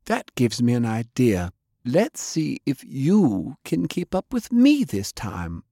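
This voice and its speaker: A menacing female ninja assassin in an action audiobook, performed by a man. The voice sits higher than his own, haughty and formal, with cold condescension. The recording is dry. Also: wildly swooping pitch, slow, dark tone, dry recording, full character voice. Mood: sly, menacing. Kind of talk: audiobook character acting.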